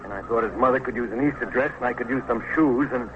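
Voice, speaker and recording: A man's voice: mid-range voice, quick pace, natural voice. Male working-class policeman played by an actor, vintage radio drama: plain-spoken, grousing yet deferential, muffled with light noise. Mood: aggrieved. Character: grumbling working-class policeman, father of young son. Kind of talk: 1950s radio drama acting. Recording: muffled vintage radio recording, faint hiss.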